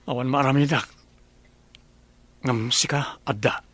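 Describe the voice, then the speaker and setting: A man's voice: medium pitch, steady tone, unhurried, a bit bright, dry recording. A man voicing a weary father who feels trapped at home, in a radio drama. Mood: pleading.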